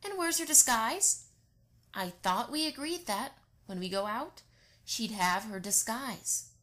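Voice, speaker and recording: A gentle, slightly fussy mother pony, acted by a woman for a fan audio drama. Her voice sits on the high side, with a caring, maternal manner. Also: lively intonation, measured pace. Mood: puzzled, concerned. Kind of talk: amateur audio drama acting.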